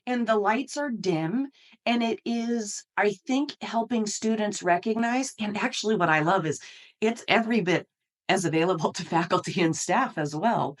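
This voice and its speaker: A middle-aged American woman giving earnest, explanatory answers as an interview guest. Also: medium pitch. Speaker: university dean, interview guest.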